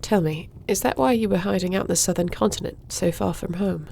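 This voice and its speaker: A young warrior princess, acted by a woman in a fantasy audio roleplay. Her voice is lowish with a gravelly creak, and she speaks in a measured, formal, dutiful way over faint ambient noise. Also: darkish tone. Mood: calm, probing. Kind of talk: audio roleplay fantasy acting, addressing listener.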